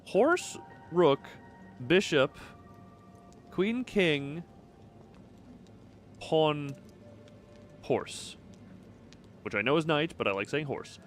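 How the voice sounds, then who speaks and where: A man's voice: on the high side, sing-song, extremely slow, long pauses, faint music behind. A man giving casual live game commentary, thinking aloud with playful, quirky turns of phrase. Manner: playful, quirky word choices. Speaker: video game streamer.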